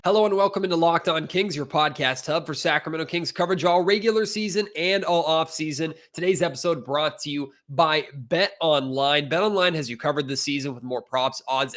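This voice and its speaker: A man's voice: a little high, normal speed, few pauses, fairly bright tone. An American man hosting a sports podcast, talking as a reporter and interviewer.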